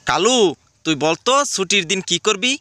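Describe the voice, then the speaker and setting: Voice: medium pitch, lively intonation, normal speed, bright, forceful tone, no echo, clean studio sound. A voice actor playing an exasperated male schoolteacher in a cartoon, scolding his pupils and exclaiming at their answers. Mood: stern. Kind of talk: cartoon voice acting.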